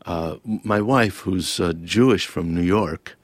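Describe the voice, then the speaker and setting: A man's voice: low-pitched, a little slow, no room sound. A man, born in Lebanon and raised in Ohio, reading his personal essay and then answering interview questions in a thoughtful, wry, self-questioning way. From Lebanon-born, raised in Toledo, Ohio. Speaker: author and interview guest.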